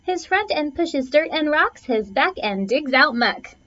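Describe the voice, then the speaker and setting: Lady reading a rhyming kids book out loud, super sing-song up and down, dramatic on key words, dull lo-fi audio. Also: high-pitched, big pitch swings, unhurried. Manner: theatrical phrasing, stretches and punches key words. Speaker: teacher reading a picture book aloud. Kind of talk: expressive children's storybook read-aloud, rhyming verse. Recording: dull, lo-fi sound.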